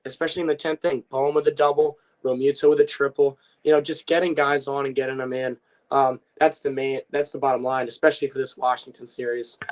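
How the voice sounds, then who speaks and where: A young man co-hosting a casual sports podcast, his voice a little worn from playing a game, recapping with halting restarts. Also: on the high side, low-quality recording. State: slightly lost voice after a game. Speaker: podcast co-host.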